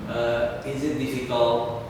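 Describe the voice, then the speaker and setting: A man's voice: medium pitch, little pitch movement, recorded from a distance, background noise. Hesitant Indonesian-accented man asking a question. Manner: halting, hedged, with frequent "uh". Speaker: audience questioner. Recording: echoey hall, picked up from afar.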